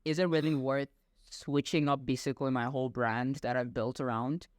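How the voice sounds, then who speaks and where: A young man from Bangladesh, hosting a casual chat in a relaxed, thinking-aloud way. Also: medium pitch, moderate pace, no echo, clean studio sound. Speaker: podcast host. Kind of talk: casual online interview chat.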